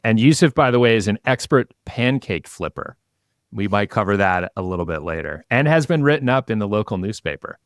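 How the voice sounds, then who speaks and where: A man's voice: lowish voice, expressive, fairly quick, dry recording, clean studio sound. A man hosting a podcast and interviewing guests in a conversational, presenterly way.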